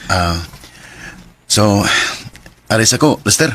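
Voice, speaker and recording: A man's voice: on the low side, lively intonation, slow. An actor playing a friendly, forthright young man in a Filipino radio drama, with a Cebuano accent. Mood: friendly, casual. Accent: Filipino, Cebuano-speaking. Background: background music.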